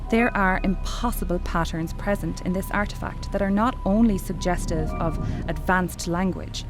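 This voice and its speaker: A woman playing a dedicated archeology professor in an audio horror drama, speaking in an articulate, measured, scholarly manner. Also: fairly low pitch, natural voice. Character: dedicated female archeology professor, affectionately commanding, increasingly entranced. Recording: music bed behind the voice.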